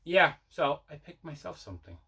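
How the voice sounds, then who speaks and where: A man's voice: a little high, sing-song, normal speed, gravelly, a crowd in the background. A man hosting a collecting show, chatting casually as he shows off what he picked up. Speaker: host of a collecting video show. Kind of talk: casual unboxing chat.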